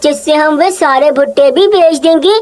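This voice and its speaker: A woman's voice: sits high, moderate pace, a little mellow, lightly acted. A woman voicing a sly male bird in a children's cartoon, coaxing and scheming.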